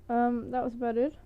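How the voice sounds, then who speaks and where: Slow, soft Danish woman with a muffled mic. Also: a little high. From Denmark. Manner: hesitant, many fillers and restarts, laughs often. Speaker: language teacher vlogger. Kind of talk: casual vlog lesson.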